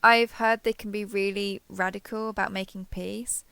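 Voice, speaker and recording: A woman's voice: medium pitch, little pitch movement, moderate pace, creaky, smooth, no echo. A woman playing a lonely, clingy alien posing as a human survivor in an intimate audio roleplay, speaking hesitantly and coaxingly to the listener. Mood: nervous, earnest. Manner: hesitant, coaxing, softly over-eager to please.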